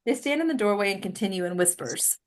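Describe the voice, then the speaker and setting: Mid-pitched woman reading stage directions. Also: lively intonation, fast. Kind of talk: scripted narration at a table read.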